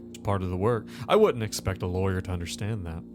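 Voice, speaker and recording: A man playing a smug, coldly self-assured federal agent in a tabletop game, speaking in an unhurried, condescending way. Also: low-pitched, over music, natural voice.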